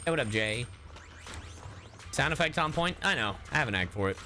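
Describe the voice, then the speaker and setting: A man's voice: medium pitch, lively intonation, fairly quick, pushed and bright, a little hiss or hum. A man livestreaming a game with casual, playful commentary, slipping into sing-song sounds and mimicry. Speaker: livestreaming gamer. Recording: faint game music behind.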